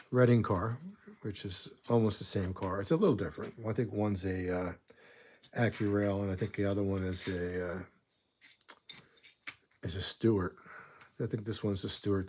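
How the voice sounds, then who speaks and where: A man's voice: lowish voice, darkish tone, highs cut off. A man hosting a casual hobby weathering tutorial at his desk, chatty and rambling, a bit stuffy from a coming cold. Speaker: model weathering host.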